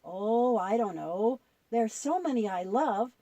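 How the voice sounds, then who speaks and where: A woman presenting a children's Bible show, telling stories in an expressive, instructive way as dialogue with a puppet. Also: a little high, takes it slowly, dry recording, lo-fi sound.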